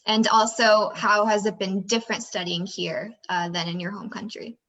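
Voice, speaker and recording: A woman with a bright voice hosts a student panel, her pitch staying fairly even. She keeps things organized and friendly, with the odd um or uh between questions. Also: moderate pace, dull audio. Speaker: moderator of a student Q&A. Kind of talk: hosting an online panel.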